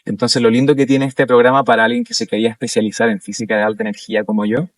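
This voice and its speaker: A man's voice: medium pitch, flat delivery, very fast, no room sound, far from the mic. A young man from Chile with a Chilean Spanish accent, talking as an interview guest in a casual, hesitant way with many fillers and restarts. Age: young adult. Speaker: interview guest, doctoral student.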